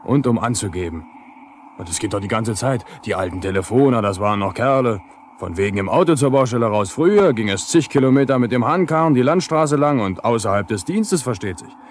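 A man's voice: medium pitch, animated, a little fast, close to an everyday voice. An actor playing a cocky young lineman, sullen and mocking in colloquial speech, in a 1970s radio drama. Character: cocky, defiant young male telephone lineman. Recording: faintly noisy 1970s vinyl radio play.

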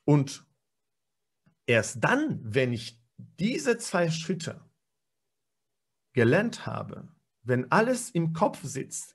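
A man in his forties teaches pronunciation in an online lecture, speaking into a webcam from a small room. His voice is gravelly and a little husky, sitting at a middle pitch. He explains emphatically and fills pauses with hesitations and restarts. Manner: explanatory, many fillers and self-corrections, emphatic. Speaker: pronunciation trainer. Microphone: webcam video call.

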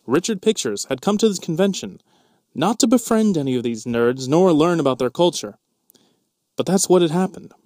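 A man's voice: on the high side, lively intonation, normal speed, a little mellow, no room sound, studio quality. A man narrating in a brooding, overwrought mock-dramatic voice-over.

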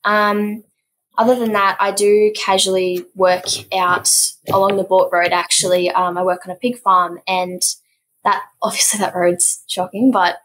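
A teenage student with an Australian accent chatting with many fillers on a video call from a school office. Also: female voice, medium pitch, fairly even intonation, unhurried, a bit bright, a little hiss or hum, poor audio. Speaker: student guest. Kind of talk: casual chat.

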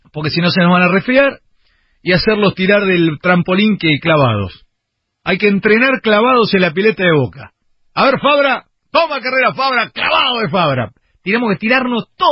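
An Argentine man with a Rioplatense accent holds forth on radio in a theatrical, rambling rush. His voice is husky and slightly high. The sound is dry and muffled. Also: sing-song, normal speed, dry recording. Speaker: radio show host. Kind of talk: radio banter. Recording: dull muffled radio broadcast.

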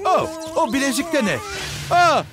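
Animated, high-pitched children's cartoon narrator. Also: moderate pace, music in the background. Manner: exclamatory, theatrical, full of gasps and interjections. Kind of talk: excited children's cartoon commentary.

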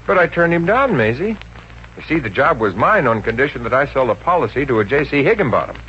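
An actor with an American accent playing an easygoing, wisecracking, lovestruck boyfriend in a 1950s radio comedy. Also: male voice, mid-range voice, sing-song, quick pace, a bit bright. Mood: smitten, easygoing. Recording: muffled, noisy vintage radio recording.

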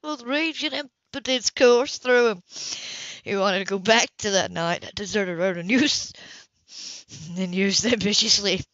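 A woman's voice: mid-range voice, a little slow, fairly bright tone. A woman reading a novel aloud as an amateur audiobook narrator. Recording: roomy, slightly dull home recording.

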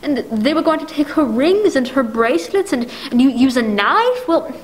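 A woman plays a fretful, self-pitying invalid wife in a 1940s-style radio play. Her voice is very high, and she stammers, then turns indignant and demanding, sure that nobody is listening. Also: big pitch swings, moderate pace. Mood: agitated, pleading. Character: anxious, imperious, self-pitying bedridden married woman.

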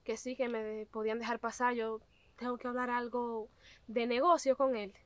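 A woman's voice: fairly high pitch, little pitch movement, normal speed, muffled recording, lightly acted. A young woman acting as a humble but determined visitor who politely keeps insisting, outdoors by a farm gate. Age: young adult. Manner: polite, persistent. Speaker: actress. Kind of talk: web drama acting. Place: outdoors on a country lane by a gate.

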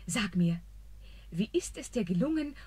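An actress playing a proud but kind young queen in a radio play, speaking in a stately, measured way. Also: mid-range voice, lively intonation, darkish tone, slightly rough.

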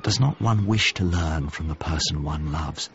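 Deep-voiced, melancholy painter. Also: male voice, normal speed, a bit bright, muffled recording, slight background noise. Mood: reflective, melancholy. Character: Mario, melancholy haunted painter, a grown man. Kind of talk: naturalistic radio drama acting and monologue.

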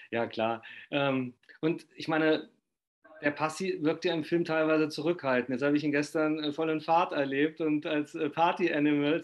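A middle-aged man asking rambling, filler-heavy interview questions over a video call. His voice sits a bit high for a man. The webcam audio sounds dull and lo-fi. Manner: rambling, hesitant, frequent fillers. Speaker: interviewer, magazine host. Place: home room, indoors.

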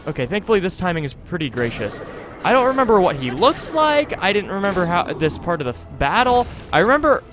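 A man hosts a gaming video in a chatty, casual way. His voice sits high and swings around a lot as he comments, often correcting himself. Faint music plays behind him. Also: fairly quick. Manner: animated, chatty, self-correcting. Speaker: host of a gaming series. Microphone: close desktop USB microphone.

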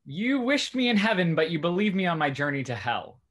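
Man playing an animated, courteous young Restoration gentleman. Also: fairly high pitch, brisk. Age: young adult. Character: genial young gentleman in love, courteous gossip. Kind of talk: stage acting in a Restoration comedy over video call. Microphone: video call.

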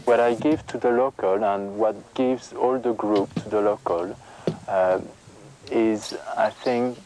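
Low-voiced Frenchman, English, halting and searching for words, faint background noise. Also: fairly even intonation, darkish tone, poor audio. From France. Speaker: volunteer interviewee. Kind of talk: interview answers.

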